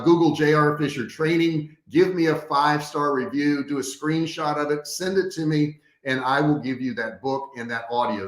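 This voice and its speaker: A man giving a motivational coaching talk to a live online audience, direct and conversational, repeating key points for emphasis. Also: mid-range voice. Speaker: business coach, host of a livestream training. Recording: echoey, roomy sound.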